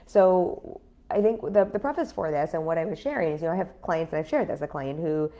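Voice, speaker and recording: A woman in her thirties talking casually on a livestream as a business coach, her voice raspy after an illness, chatty with many fillers. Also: deep voice, expressive, fairly quick, mellow. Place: home in a city apartment.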